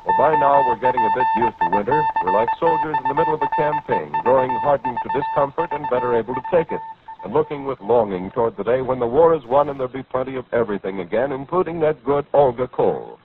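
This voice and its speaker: A man's voice: fairly high pitch, wildly swooping pitch, fast. A man announcing a sponsor's message in the formal, rousing style of 1940s radio. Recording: tinny vintage radio recording with music.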